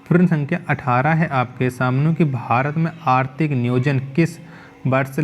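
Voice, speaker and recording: Male exam tutor, repeats every answer back, even middle pitch, faint music behind. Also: normal speed. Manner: repeats each question and answer back to the viewer. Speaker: exam coaching teacher. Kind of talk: quiz question walkthrough.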